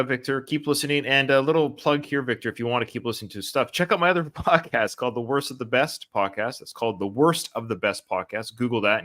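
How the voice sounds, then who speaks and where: A man's voice: medium pitch, fairly bright tone. A tired man with a Canadian accent hosting a podcast, rambling, losing his thread and laughing at himself. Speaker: podcast host. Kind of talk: casual podcast chat.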